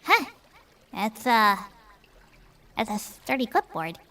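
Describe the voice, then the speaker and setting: A woman playing a tabletop role-playing game in character, with an excitable, slangy delivery. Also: fairly high pitch, slow. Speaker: player.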